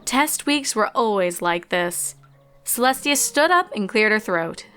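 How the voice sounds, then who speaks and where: A woman narrates a story in a mid-pitched voice. Her delivery is scripted and expressive, in the manner of a fiction reading, with music playing behind her. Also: unhurried, smooth. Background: background music.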